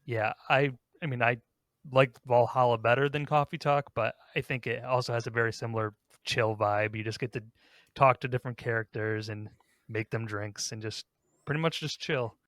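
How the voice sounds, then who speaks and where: A man's voice: medium pitch, fairly even intonation, moderate pace, fairly bright tone, no echo, clean studio sound. A man hosting a podcast, talking casually as he leads a countdown discussion. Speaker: podcast host.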